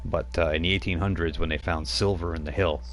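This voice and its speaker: A man voicing a brash New York wise guy who calls himself a shaman, with a Brooklyn accent and a pushy, hurried street manner. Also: low voice, steady tone, lightly acted. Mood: matter-of-fact.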